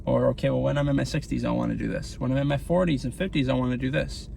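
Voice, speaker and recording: A man's voice: mid-range voice, fairly even intonation, fast, gravelly, a little mellow, a little hiss or hum. A man around thirty giving casual motivational advice to the camera while driving, talking in a rambling way. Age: 30s. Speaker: vlogger. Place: in a car.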